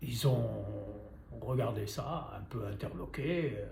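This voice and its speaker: An older man tells a story to his viewers with a warm, mellow voice. He talks in a loose, chatty way full of wry asides and direct questions. His voice echoes around the room. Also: mid-range voice, animated, a little slow, gravelly, a little hiss or hum. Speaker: painter and sculptor. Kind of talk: storytelling talk to camera.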